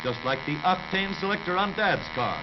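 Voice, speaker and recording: An American man narrates a 1930s newsreel in a jaunty, dramatic showman style. His fairly high voice rises and falls with great animation. The old soundtrack is slightly dull. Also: big pitch swings, normal speed, heavy background noise.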